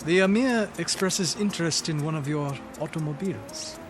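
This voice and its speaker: A man's voice: a little high, moderate pace, noisy background, lightly acted. A man playing a formal, courteous translator for a foreign dignitary in an audio drama, with a stiff manner. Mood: formal, guarded.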